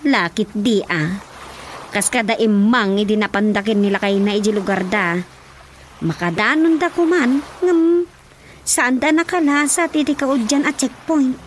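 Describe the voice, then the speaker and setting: A woman's voice: on the high side, unhurried, slight background noise, natural voice. A woman with an Ilocano accent playing a village mother in a Filipino radio drama. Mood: worried.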